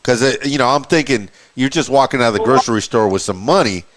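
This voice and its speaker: A man's voice: medium pitch, fast talker, fairly bright tone. A man hosting a casual podcast interview, prompting his guest with short replies and follow-up questions. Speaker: podcast host.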